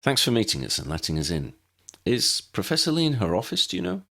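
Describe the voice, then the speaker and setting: A man voicing a determined male wizard who leads his team, firm and brisk, in an audiobook. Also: mid-range voice, wildly swooping pitch, gravelly, fairly bright tone, pitched above the performer's own voice, heavily acted. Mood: businesslike.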